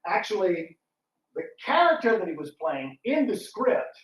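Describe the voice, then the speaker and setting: A man speaking casually on a live panel about his theatre work, halting as he thinks aloud. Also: sits high, sing-song. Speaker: radio theatre director on a discussion panel. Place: indoor room, public talk at a library. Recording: roomy, echoing, distant mic.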